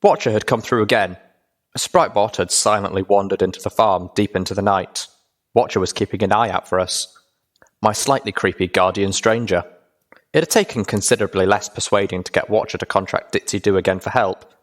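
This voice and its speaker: Male audiobook narrator with a lowish voice for first-person fiction. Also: fairly even intonation, a little fast.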